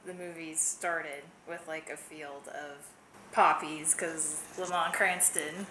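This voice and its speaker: A woman's voice: low-pitched, steady tone, slow pace, a crowd in the background, poor audio. A woman co-hosting a show, chatting casually and jokingly with her partner. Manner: jokey, playful. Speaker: co-host of a movie-themed homebrewing show. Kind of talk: casual conversational chat.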